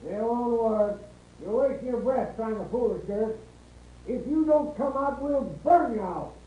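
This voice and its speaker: A man's voice: very high-pitched, a little slow, narrow, tinny audio. A man playing a hard, taunting lynch posse leader in a 1950s radio western, calling out scornfully from a distance. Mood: taunting, menacing. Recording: echoing noisy old radio recording.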